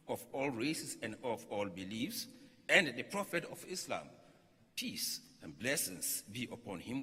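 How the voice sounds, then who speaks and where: Forceful man speaking in an echoing hall. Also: a little high, breathy edge. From Ghana. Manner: emphatic, solemn oratory with deliberate phrasing and repeated lines. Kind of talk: formal religious address read aloud from a text. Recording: echoey hall with long reverb.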